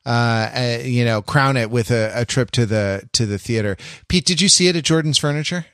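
A man chatting casually as a podcast co-host, halting and often repeating his words as he goes. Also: mid-range voice, fairly bright tone, smooth, no echo, clean studio sound. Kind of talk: casual chat.

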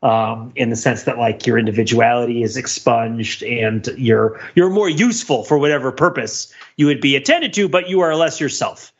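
A man's voice: medium pitch, normal speed, gravelly, few pauses, breathy edge. Geeky American man, slightly dull audio. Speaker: podcast co-host. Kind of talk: casual explanatory chat. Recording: dull, band-limited sound.

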